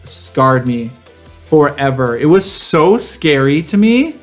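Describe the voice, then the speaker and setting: A man co-hosting a casual podcast, talking in a campy, sassy, expressive way and swearing freely. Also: on the high side, slow pace, faint music behind. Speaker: podcast co-host. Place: home studio garage.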